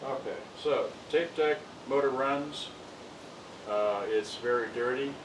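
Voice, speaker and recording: A man's voice: mid-range voice, takes it slowly. A man giving a casual, unscripted unboxing demonstration, rambling and muttering to himself as he works. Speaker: vlogger showing vintage electronics. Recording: roomy, distant mic, loud background noise.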